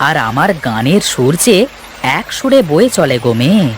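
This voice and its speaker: Cartoon river boatman, male actor: good-natured and obliging, very expressive pitch, over a slightly noisy outdoor bed. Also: moderate pace. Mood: kindly, reassuring. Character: humble, good-natured village river boatman, cheerful and devoted. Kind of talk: animated story character acting.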